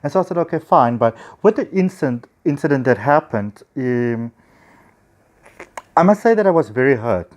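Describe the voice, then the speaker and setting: A man's voice: medium pitch, animated, normal speed. A man with a South African accent talks casually and effusively to viewers in an enthusiastic, chatty vlog, recorded on a handheld phone. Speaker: spiritual healer and teacher.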